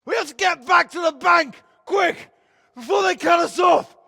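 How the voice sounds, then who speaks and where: An actor playing a wary, self-serving medieval mercenary captain in a video game, talking in a sardonic, pragmatic, patronizing way. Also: male voice, very high-pitched, normal speed, vocal fry, sharp tone, dry recording, shouting, clean studio sound, some chatter behind, exaggerated voice. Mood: urgent shouting. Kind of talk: video game character dialogue.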